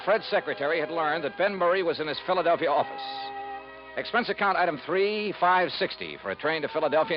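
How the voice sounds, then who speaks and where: An actor playing a wry, skeptical American insurance investigator with clipped delivery, in a 1950s radio detective drama. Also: male voice, on the high side, close to an everyday voice. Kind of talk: 1950s radio detective acting and first-person narration. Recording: dull 1950s radio recording with music.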